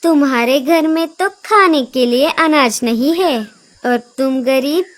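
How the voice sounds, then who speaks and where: A woman's voice: sits high, a little slow. A woman voicing a spiteful, haughty cartoon mother bird who talks in a scornful, taunting way. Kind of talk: animated children's story dialogue.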